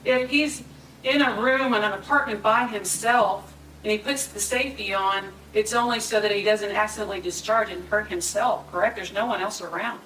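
A woman's voice: mid-range voice, moderate pace, a bit bright, far from the mic, slight background noise. A woman prosecutor cross-examining a witness in court, insistently demanding yes or no answers. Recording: echoey courtroom audio from afar.

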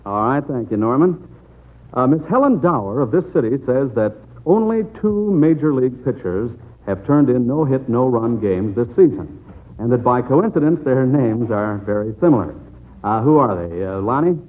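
A man's voice: medium pitch, lively intonation, normal speed. An American man hosting a 1940s radio quiz in a folksy, encouraging manner. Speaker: quizmaster of a children's quiz show. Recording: muffled old narrow-band radio recording.

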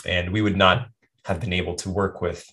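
A man's voice: sits low, level tone, normal speed, bright, forceful tone. An American man, a health coach, answering interview questions in a thoughtful, rambling way with frequent restarts and asides. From United States. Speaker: guest, health and performance coach.

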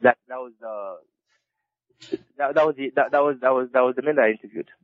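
A man, an author being interviewed, who answers haltingly and restarts his phrases again and again. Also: medium pitch, no room sound. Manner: halting, with many repeated restarts. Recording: dull lo-fi dry recording.

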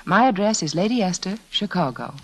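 Female 1940s advertising spokeswoman, animated intonation, measured direct address, old radio recording. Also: fairly low pitch.

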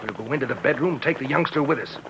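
A man's voice: medium pitch, fast talker, lightly acted. A man playing a menacing, bossy outlaw gang leader in an old radio western. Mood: threatening, commanding. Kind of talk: 1950s radio western acting. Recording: noisy old radio recording.

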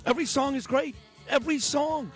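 A man's voice: very high voice, soft background music. A man with a Brooklyn accent hosting a morning radio talk show, emphatic and enthusiastic. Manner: emphatic, enthusiastic, fast-talking. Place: radio studio.

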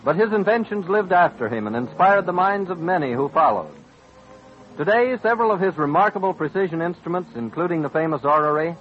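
Vintage radio narrator man, high voice, solemn formal delivery, dull sound, bit of music underneath. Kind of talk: 1930s-40s radio scripted narration.

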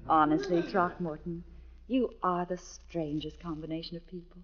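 Actress as genteel teacher lady, earnest, on the low side, old dull radio sound. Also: moderate pace, heavy background noise, lightly acted. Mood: coyly amused. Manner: earnest, proper. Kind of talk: 1940s radio acting. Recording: muffled old radio broadcast.

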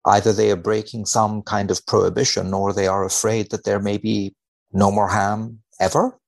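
A man speaking as a researcher guest in conversation, wry and measured, giving tongue-in-cheek commentary with mock seriousness. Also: lowish voice, level tone, vocal fry. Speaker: podcast guest, biomedical researcher.

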